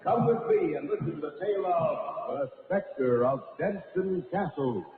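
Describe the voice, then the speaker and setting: An actor playing an ominous, solemn storyteller host of a 1950s supernatural radio drama, with theatrical, beckoning delivery. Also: male voice, fairly high pitch, animated, a little slow, phone-quality sound. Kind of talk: 1950s radio horror announcing. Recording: cavernous reverb on old radio recording.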